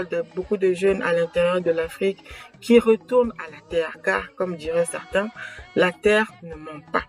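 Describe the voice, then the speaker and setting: Measured woman addressing camera. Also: on the low side. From Congo. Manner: measured, emphatic, repeats words while searching. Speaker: association founder presenting her channel. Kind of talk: earnest to-camera talk. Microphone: camera mic, speaking straight to camera.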